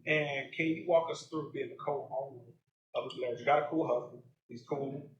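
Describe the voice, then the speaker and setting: A man's voice: mid-range voice, fairly even intonation, moderate pace, reverberant, muffled recording. A man hosting a live podcast and interviewing a guest, often answering with "right.". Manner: interviewer, many "right" backchannels. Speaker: podcast host. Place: stage at a live event.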